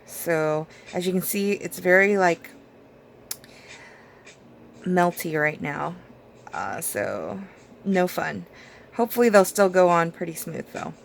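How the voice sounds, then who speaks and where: Slow, raspy-voiced woman chatting. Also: fairly low pitch, expressive, a little hiss or hum. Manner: rambling, chatty, with many fillers and small laughs. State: hoarse, losing her voice. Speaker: nail vlogger. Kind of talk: casual chat while doing her nails.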